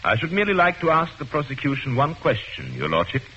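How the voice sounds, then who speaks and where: An actor playing a calm, precise defendant who has coldly planned a crime, in 1940s radio acting style. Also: male voice, mid-range voice, quick pace, a little hiss or hum. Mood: cool. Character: cold, calculating male murder defendant. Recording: dull old radio recording with music.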